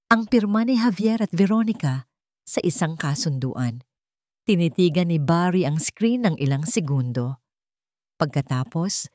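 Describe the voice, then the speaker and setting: A woman with a Filipino accent narrating a scripted drama story in a measured, suspenseful way. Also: lowish voice, darkish tone, no echo, clean studio sound.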